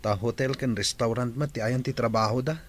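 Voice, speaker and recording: A man's voice: mid-range voice, fairly quick, a little hiss or hum, close to an everyday voice. A man playing the concerned grown son of a farming family in an Ilocano radio drama. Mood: concerned, questioning.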